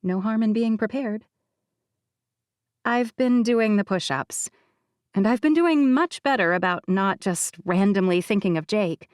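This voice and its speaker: A woman narrating a first-person romance audiobook with wry, confiding warmth and easy conversational timing. Her voice sits at an ordinary middle pitch for a woman. The close studio recording is dry, with no room sound. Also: unhurried, clean tone, no echo.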